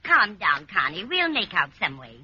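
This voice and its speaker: A woman's voice: fairly low pitch, wildly swooping pitch, moderate pace, breathy edge, highs cut off, full character voice. An American actress playing a motherly, scatterbrained landlady in a vintage radio comedy, chatty, reassuring and unflustered. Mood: cheerful, soothing. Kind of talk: 1940s-50s radio comedy acting. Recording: noisy, band-limited old radio recording.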